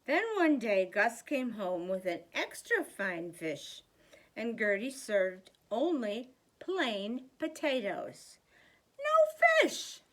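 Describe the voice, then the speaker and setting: An older woman reads a picture book to small children. Her voice sits a little high and swings widely in pitch as she leans on key words. She takes her time, with a slow, deliberate storytelling pace. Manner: slow, deliberate, animated emphasis. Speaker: grandmother reading a children's book aloud. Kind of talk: expressive storybook read-aloud for young children.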